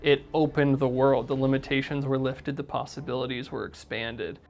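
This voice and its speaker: Gravelly-voiced man in reflective interview. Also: medium pitch, fairly even intonation, normal speed, darkish tone, faint music behind. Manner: enthusiastic, earnest. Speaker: audio drama creator.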